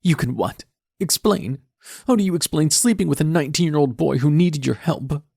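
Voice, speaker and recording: A man voicing a distraught teenage daughter who shouts accusations through tears. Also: medium pitch, sing-song, unhurried, vocal fry, breathy edge, no room sound, clean studio sound, pitched above the performer's own voice, exaggerated voice. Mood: tearful outrage.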